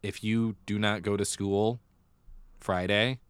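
An American man with a low, smooth voice talks casually as a podcast co-host. His delivery is wry, and the close recording has very little room sound. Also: fairly even intonation, slow pace, no room sound. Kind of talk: casual theatre news chat.

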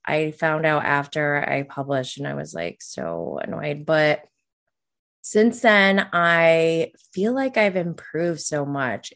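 A woman in her late thirties with a low voice and a slow pace. She talks like a casual podcast host, candid and self-deprecating, in informal everyday phrasing. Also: fairly bright tone. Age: late 30s.

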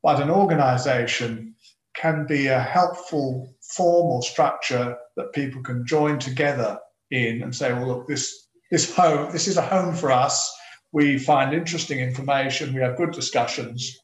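An older man speaking as an interview guest, measured and reflective. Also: medium pitch. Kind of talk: casual interview. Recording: echoey room.

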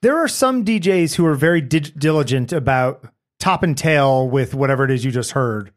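A man hosting a casual interview, chatty and quick to laugh, firing off eager follow-up questions. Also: medium pitch, expressive. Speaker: podcast host.

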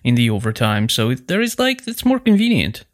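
Halting man chatting casually on a podcast. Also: mid-range voice, wildly swooping pitch. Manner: halting, with repeated false starts. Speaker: podcast co-host and producer. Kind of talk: casual unscripted podcast chat.

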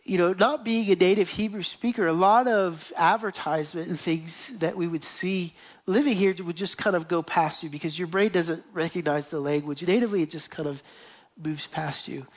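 A man's voice: high-pitched, moderate pace. A man preaching a sermon to a gathered church, earnest and building his points with lists and repeated phrases. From United States. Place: church meeting, live streamed. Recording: dull lo-fi sound.

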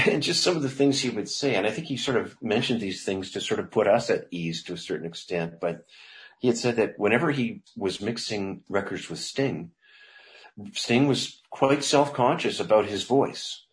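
Middle-aged male songwriter, low voice, loose rambling interview talk, relaxed. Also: normal speed. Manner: rambling, loose, with frequent restarts and laughs. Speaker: songwriter guest.

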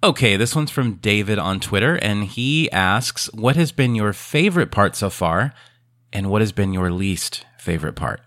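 A man hosts a casual podcast, thoughtful and sincere. His voice sits on the low side. The recording is close and dry. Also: animated, moderate pace, fairly bright tone, no echo. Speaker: podcast host.

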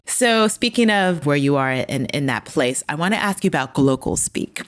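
Low-voiced woman host, dry sound. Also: animated, no echo. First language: English. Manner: curious, halting questions with restarts. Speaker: podcast host. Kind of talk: casual interview chat.

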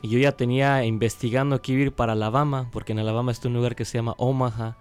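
Chatty storyteller man, crisp voice. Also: medium pitch, fairly even intonation, very fast, faint music behind. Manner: chatty, laughing anecdote. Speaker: missionary. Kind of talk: storytelling testimony.

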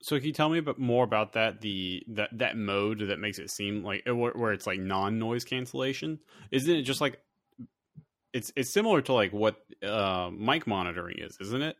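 A man with a fairly low voice co-hosts a casual tech chat. He asks follow-up questions in a relaxed, curious way. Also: normal speed. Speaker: podcast co-host.

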